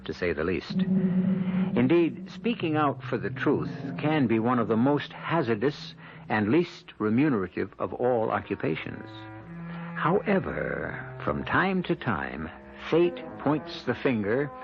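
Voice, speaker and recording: A man hosting a radio mystery drama with theatrical scripted narration, measured, portentous and wry. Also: medium pitch, gravelly, lo-fi sound. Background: music.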